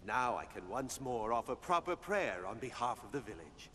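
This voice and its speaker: A man's voice: medium pitch, big pitch swings, moderate pace, fairly bright tone, a little hiss or hum. A man playing a humble, devout novice village priest in a fantasy video game, with formal, earnest, archaic speech. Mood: joyful, grateful.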